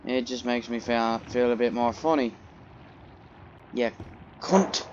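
Man gaming, put-on british accent, cursing, slow talk, dull lo-fi sound. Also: medium pitch, steady tone, background noise. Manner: profane, jokey, loud. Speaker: gamer. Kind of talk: let's play commentary.

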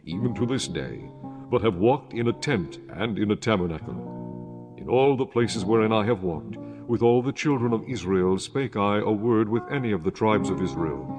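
Man acting solemn voice of God, low, stately, authoritative, clear music behind. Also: natural voice. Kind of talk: dramatized Bible audio acting.

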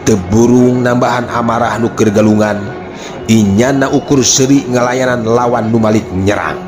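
A man's voice: medium pitch, fairly even intonation, moderate pace, over music. A man with a Sundanese accent narrating a folk tale as a dramatic storyteller.

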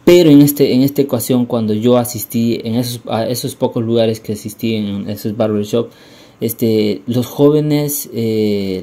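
A man's voice: medium pitch, mellow. A young man narrating a casual travel vlog in a rambling, hesitant way, often repeating words. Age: young adult. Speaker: vlogger.

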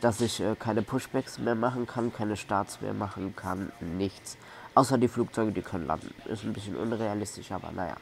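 A man's voice: lowish voice, moderate pace, gravelly, slight background noise. A man casually commentating a video game as host, rambling colloquially and often repeating himself. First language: German. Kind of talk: casual let's play commentary.

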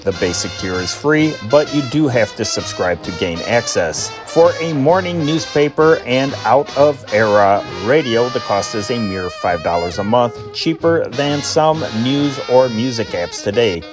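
American guy host introducing shows, mid-pitched voice, informal, soft music underneath. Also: animated, measured pace, hardly any pauses. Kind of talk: informal scripted program introduction.

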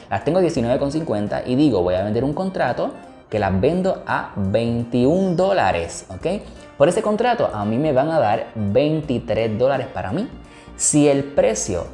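A man's voice: mid-range voice, warm tone. A man with a Puerto Rican Spanish accent teaching a tutorial on investing, explaining step by step and often checking in with "ok?". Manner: explanatory, repeats "¿ok?" to check understanding. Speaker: investing educator. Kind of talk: screen-recorded tutorial explaining options. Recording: echoey room with faint music.

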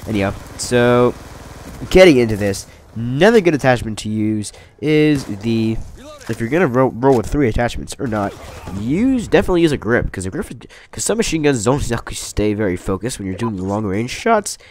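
A man's voice: medium pitch, sing-song, moderate pace, a little mellow, slight background noise. A young man with a cold, sounding stuffed up, giving casual, rambling gameplay commentary and correcting himself as he goes. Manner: rambling, self-correcting, upbeat. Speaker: gaming commentator.

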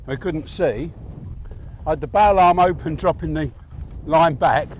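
A man's voice: fairly high pitch, a little slow, slight background noise, low-quality recording. An older man with an English accent hosting a fishing show, chatting casually to camera from a boat out at sea. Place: outdoors on a small boat at sea.

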